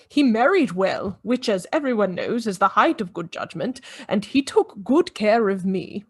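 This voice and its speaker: A rich, elderly widow in a radio mystery. She is proud and stern, pronouncing judgement in stiff, formal phrases at a middling pitch. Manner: pompous, disapproving, formal. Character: haughty, judgemental wealthy old Scottish-bred widowed aunt. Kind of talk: radio play acting.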